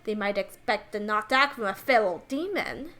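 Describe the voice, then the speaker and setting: A woman's voice: mid-range voice, expressive, moderate pace, pushed and bright, voice pushed down. A woman voicing a haughty, villainous demon girl in a grandiose mock Russian-Scottish put-on accent. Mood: sarcastic. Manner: grandiose, theatrical.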